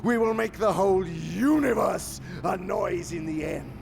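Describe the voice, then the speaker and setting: A man playing an imperious senior demon in a theatrical radio drama, venomous and contemptuous, with a gravelly voice and grandiose, sneering delivery. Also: high voice, unhurried, over music, heavily acted. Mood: ranting, exultant. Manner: grandiose, sneering, savoring words, swinging from hiss to roar.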